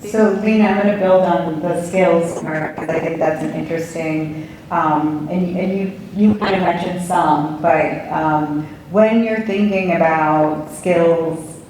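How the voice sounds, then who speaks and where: A woman's voice: fairly low pitch, measured pace, darkish tone, a little hiss or hum. A woman moderating a conference panel as an educator, thinking aloud and restarting her questions. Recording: distant echoey hall pickup.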